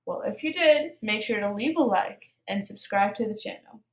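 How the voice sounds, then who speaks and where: A woman reading a children's storybook aloud with expressive narration, addressing young listeners. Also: medium pitch, normal speed, roomy sound. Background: light music.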